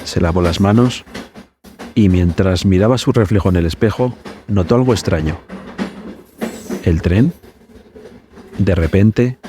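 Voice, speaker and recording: A man hosting a podcast, narrating a scripted story in a measured, suspenseful manner. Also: sits low, noisy background.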